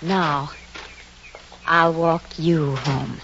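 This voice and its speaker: An actress playing a headstrong, sensible woman who insists she is not afraid, firm and brisk, in a vintage radio drama. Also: sits low, fairly even intonation, a bit bright, full character voice. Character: headstrong, sensible unmarried woman, stubbornly unafraid. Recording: muffled old radio recording with hiss.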